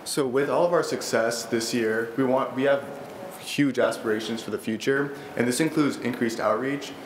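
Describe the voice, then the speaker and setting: A man's voice: medium pitch, normal speed. A teenage boy presenting his robotics team to a school board at a lectern, eager and earnest, with frequent fillers and restarts. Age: teens. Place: large school library meeting room, indoors. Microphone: standing at a lectern microphone. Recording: echoey room with faint background noise.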